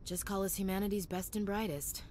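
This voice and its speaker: A woman's voice: mid-range voice, steady tone, fairly quick, close to an everyday voice. A woman playing a tough, devoted young soldier in a video game, wry and intimate. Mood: wry, tender. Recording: loud noise behind the voice.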